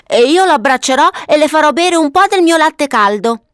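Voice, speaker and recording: Woman acting high-voiced, wonder-filled little boy. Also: a little slow, no room sound, studio quality. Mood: enthusiastic. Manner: breezy, wide-eyed enthusiasm. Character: Papavero, enthusiastic, affectionate little boy full of wonder. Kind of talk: storybook character voice.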